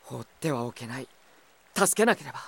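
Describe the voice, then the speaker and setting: An actor playing a gentle, idealistic and quietly resolute young prince in an earnest audio drama. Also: male voice, mid-range voice, expressive, brisk, creaky, husky. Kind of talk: earnest anime-style audio drama acting.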